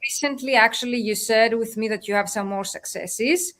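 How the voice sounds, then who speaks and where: A woman's voice: fairly high pitch, moderate pace, fairly bright tone. A woman with a Greek accent hosting a live-streamed interview over a video call, chatty and friendly, with fillers and restarts. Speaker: host and business coach.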